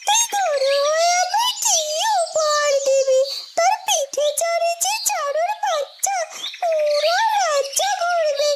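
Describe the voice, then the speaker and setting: A woman's voice: extremely high pitch, takes it slowly, background noise, pitched above the performer's own voice. A woman voicing a cheerful, teasing little talking bird in a fairytale cartoon.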